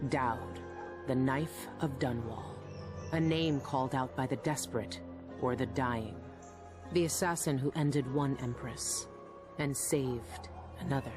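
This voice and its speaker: A woman playing a weary, guilt-ridden former assassin in a video game, introspective and clipped over music. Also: very deep voice. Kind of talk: brooding video game narration and dialogue.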